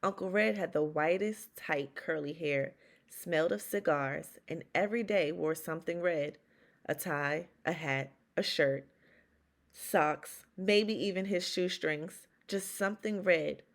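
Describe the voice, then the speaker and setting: A woman reads a children's story aloud in the first person, leaning into dramatic pauses and emphasis. Her voice is on the low side, with a lot of vocal fry. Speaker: children's read-aloud host.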